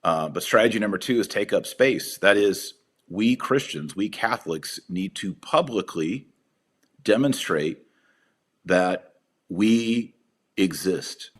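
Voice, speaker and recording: Hoarse deep-voiced man with a chest cold. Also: lively intonation, slow. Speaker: podcast host. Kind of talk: podcast commentary.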